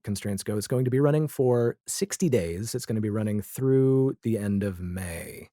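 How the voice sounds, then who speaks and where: A man's voice: on the low side, moderate pace, dark tone, no echo, studio quality. A man speaking as a podcast guest in a casual, reflective interview conversation, explaining his thoughts with examples. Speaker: podcast guest, audio drama creator.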